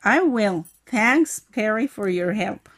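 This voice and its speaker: A woman's voice: mid-range voice, expressive, slow pace, a bit bright, no room sound, studio quality. A woman, playing the curious student in a scripted English lesson. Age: 40s. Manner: curious, asking questions. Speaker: co-host acting as student.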